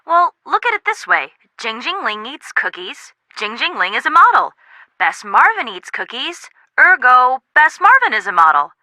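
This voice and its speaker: A woman's voice: sits high, very animated, moderate pace, sharp tone, no room sound, exaggerated voice. An actress playing a sensible, dry-witted young American woman in a video game, wry and matter-of-fact. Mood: teasing. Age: young adult.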